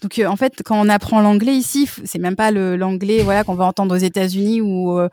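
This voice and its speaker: A French woman in her thirties, a French teacher, telling her story in a relaxed interview with many pauses and fillers. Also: medium pitch. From France. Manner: hesitant, many fillers and restarts. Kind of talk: casual interview chat.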